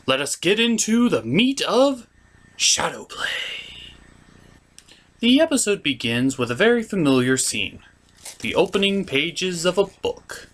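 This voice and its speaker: Animated male comic reviewer. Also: sits high. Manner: theatrical, slipping into character voices. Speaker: reviewer and puppeteer. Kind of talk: scripted comic episode recap.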